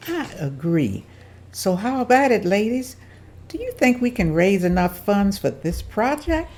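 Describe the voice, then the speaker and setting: A woman's voice: mid-range voice, sing-song, a little slow, darkish tone. A woman at a table read playing a persuasive businesswoman who rallies other women to organize. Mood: encouraging. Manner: rallying, persuasive. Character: woman leader and businesswoman, encouraging.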